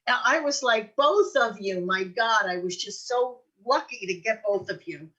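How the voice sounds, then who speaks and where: A woman's voice: fairly high pitch, lively intonation, moderate pace, a bit bright, breathy edge, dull audio. An older woman co-hosting a show, talking in a chatty, effusive way in casual conversation. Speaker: podcast co-host and producer. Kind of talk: casual chat.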